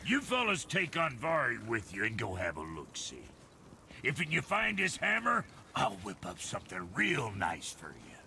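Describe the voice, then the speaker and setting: Gruff dwarf blacksmith: forceful, cutting, hugely animated delivery, blunt and colloquial, fantasy game. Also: male voice, medium pitch, normal speed, slight background noise, exaggerated voice. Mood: bossy. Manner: folksy, brash, colloquial.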